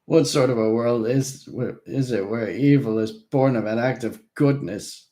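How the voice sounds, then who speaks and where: A man's voice: mid-range voice, measured pace, mellow, pitched above the performer's own voice, lightly acted. A man voicing a drunken, despairing male vampire prince who rants and shrieks. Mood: bitter. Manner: shrieking, ranting.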